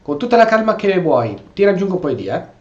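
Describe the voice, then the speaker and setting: A man's voice: high voice, fast talker. A man giving casual, exclamatory role-play commentary on a video game, in a roomy recording with game sound behind. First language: Italian. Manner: exclamatory, animated. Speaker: gameplay streamer. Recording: roomy, slightly dull mic, game noise behind. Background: video game audio.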